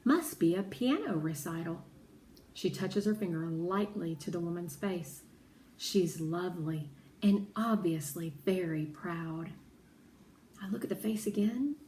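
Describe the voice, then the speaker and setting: Female read-aloud narrator, unhurried and deliberate, expressive storytelling, echoey room. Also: on the low side. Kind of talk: expressive children's audiobook narration. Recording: roomy echo, faint background noise.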